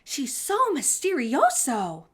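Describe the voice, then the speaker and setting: A woman's voice: high-pitched, huge pitch swings, slow, pitched above the performer's own voice, full character voice. A woman voicing a spoiled, haughty young girl in a storybook with emphatic insistence. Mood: curious.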